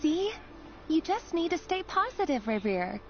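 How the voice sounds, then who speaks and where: A woman's voice: very high-pitched, big pitch swings, a little slow, heavily acted. A woman voicing a tender, playful young sweetheart in a video game, coaxing and affectionate. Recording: slightly dull game audio with music.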